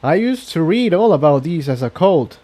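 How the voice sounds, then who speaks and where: A man's voice: a little high, sing-song, normal speed, dark tone, voice pushed up. A man voicing a determined male pony commander in an adventure story, with an intense, commanding manner. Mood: tense, explaining.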